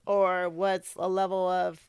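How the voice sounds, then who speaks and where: Raspy woman, a bit tipsy, slurring, loose and chatty as a podcast guest, dry close studio mic. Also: lowish voice, little pitch movement, takes it slowly, darkish tone, no room sound. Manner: slurs words, laughs often, talks in run-on thoughts. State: a little tipsy. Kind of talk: casual chat.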